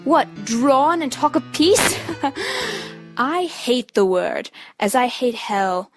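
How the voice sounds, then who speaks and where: A woman's voice: high voice, sing-song, slow, soft background music, full character voice. A woman playing a hot-headed, menacing young duelist in an amateur Shakespeare reading, taunting with scorn. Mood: scornful menace.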